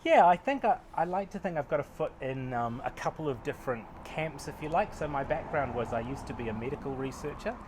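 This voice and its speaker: A man in his forties with a New Zealand accent, talking casually about his work as a researcher and acupuncturist, relaxed and self-deprecating with many fillers, recorded outdoors on a veranda with a lapel mic. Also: on the high side, brisk, few pauses, background noise. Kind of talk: casual interview answers.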